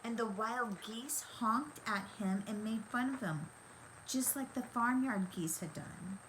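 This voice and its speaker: Older woman reading slowly, noisy recording. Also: on the high side, a bit bright. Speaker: storybook reader. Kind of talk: scripted children's story narration.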